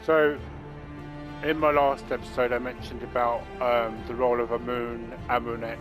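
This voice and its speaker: A man commenting over his gameplay, rambling and halting, with restarts and fillers. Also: mid-range voice, level tone. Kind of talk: let's play commentary. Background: game music.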